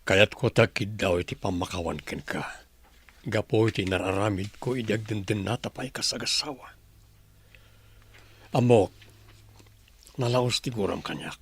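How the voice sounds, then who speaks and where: A man with an Ilocano accent playing a remorseful, pleading husband in a radio drama, his voice husky. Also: lowish voice, normal speed, pauses often. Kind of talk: Filipino radio drama acting.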